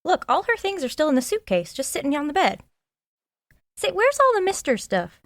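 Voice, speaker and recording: A woman's voice: high-pitched, sing-song, normal speed. A woman playing a nosy, loyal small-town sidekick in an audio drama, with a frank, folksy and eager manner. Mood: chatty, awed. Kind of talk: audio drama dialogue acting.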